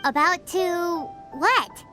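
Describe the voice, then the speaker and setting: An actress playing a tiny, cheeky fairy companion in a video game, with a squeaky, childlike voice. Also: female voice, very slow, over loud music, exaggerated voice. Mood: curious. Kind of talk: video game character acting.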